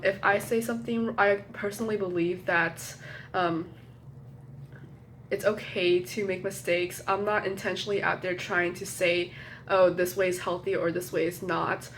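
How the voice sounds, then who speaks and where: A young woman with a low, slightly nasal voice talks casually into her phone camera as a college student vlogger. She hesitates, apologizes, and restarts her sentences often. A faint noise sits under the recording. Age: young adult. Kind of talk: casual rambling chat to camera.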